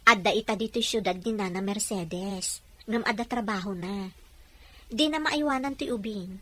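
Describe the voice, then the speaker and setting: A woman acts a patient caretaker in an audio drama. Her mid-pitched voice is natural and reassuring as she explains. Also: normal speed, natural voice.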